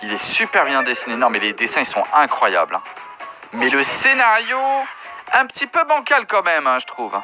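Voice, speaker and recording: A man's voice: medium pitch, very animated, moderate pace. A young man doing casual, slangy reaction commentary as a video host, chatting to his viewers. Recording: loud music behind the voice.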